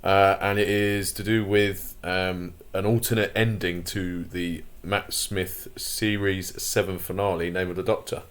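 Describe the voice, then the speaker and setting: A man hosting a casual commentary vlog, his voice croaky, rambling with lots of fillers and opinionated asides. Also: low-pitched, little pitch movement, unhurried, few pauses. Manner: rambling, many fillers and restarts. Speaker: channel host.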